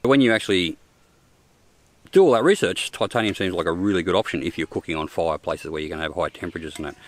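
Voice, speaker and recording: A man's voice: low voice, fast, dry recording. An older Australian man reviewing camping cookware outdoors in the bush, talking plainly with frequent ums and restarts. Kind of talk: casual gear review vlog. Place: outdoors in open bushland.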